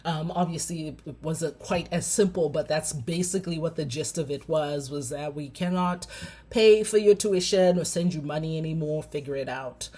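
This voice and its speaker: A woman in her forties from Zimbabwe, a clinical psychologist telling her personal story with many pauses and fillers. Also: sits low, dark tone, husky. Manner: reflective, many ums and uhs.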